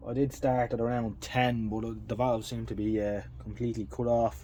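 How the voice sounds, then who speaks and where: A man's voice: mid-range voice, level tone, moderate pace, dark tone, slight background noise. A man giving a casual, matter-of-fact technical commentary on a hands-on demonstration in a workshop, thinking aloud as he goes. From Ireland. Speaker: vintage radio restorer and collector.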